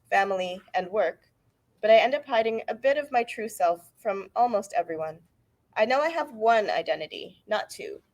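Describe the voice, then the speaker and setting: Woman reading aloud, measured and earnest. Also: mid-range voice. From Canada. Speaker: author reading her book. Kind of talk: reading aloud from a book introduction. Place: bookfest event hall.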